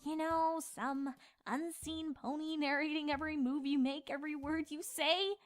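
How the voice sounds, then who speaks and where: A woman's voice: sits high, fairly even intonation, normal speed, faint music behind, lightly acted. A woman voicing an earnest, bookish young female pony, prim, insistent and easily exasperated, in a cartoon story. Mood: urgent.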